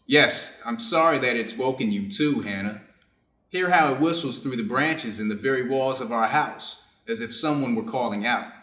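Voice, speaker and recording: A man's voice: mid-range voice, normal speed, pushed and bright, close to an everyday voice. A man playing a reserved, conflicted Japanese doctor in a staged reader's theater drama, formal and guarded in his phrasing. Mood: guarded, uneasy. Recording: roomy, echoing space.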